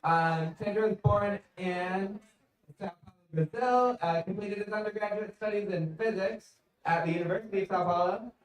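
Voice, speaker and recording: A man's voice: high-pitched, moderate pace. A man hosting a live event, making casual announcements and introductions, heard from a distance in an echoey space. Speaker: event host. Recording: echoey room, distant from the mic.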